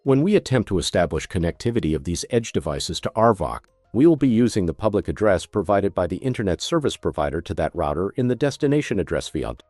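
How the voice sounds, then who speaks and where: Male tutorial narrator, methodical product demonstrator with animated intonation, recorded close and dry. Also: low voice, quick pace, hardly any pauses, no echo, faint music behind. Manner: methodical, step-by-step instruction.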